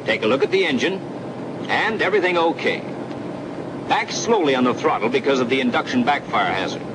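A man narrating a 1940s military training film in scripted second person, explaining procedures in an instructive way. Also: mid-range voice. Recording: old film soundtrack with engine drone. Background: aircraft engine noise.

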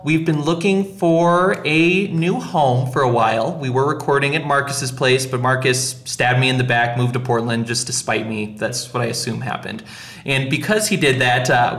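A man's voice: on the high side, fairly bright tone, roomy sound. A man hosting a casual podcast, chatting in a jokey, self-deprecating way. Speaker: podcast host.

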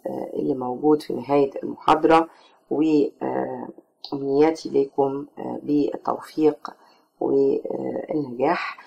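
A lecturer with an Egyptian accent and a tired, strained voice gives closing remarks to a recorded lesson, halting with many filler sounds. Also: medium pitch, gravelly, a little mellow, highs cut off. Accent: Egyptian Arabic. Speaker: course lecturer. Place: voice-over, not recorded in the pictured classroom.

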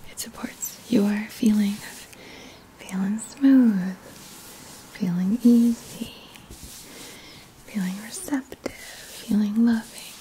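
A woman in her thirties doing gentle, soothing ASMR in a breathy whisper, close to the mic with unhurried pauses. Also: mid-range voice, slight background noise, rough recording. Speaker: ASMR host. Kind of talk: ASMR, soft guided relaxation roleplay. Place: small indoor room.

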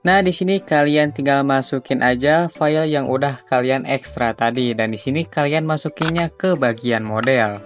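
A man from Indonesia, giving a casual, informal step-by-step software tutorial. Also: medium pitch, normal speed. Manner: informal, repetitive step-by-step instructing. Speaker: tutorial host. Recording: music behind the voice. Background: background music.

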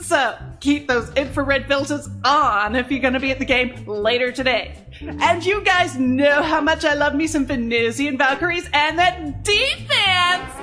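A woman's voice: high voice, unhurried, pushed and bright, faint music behind. A woman playing a perky, gushing space-colony TV weather presenter in an audio drama, bubbly and chummy. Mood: bubbly, excited.

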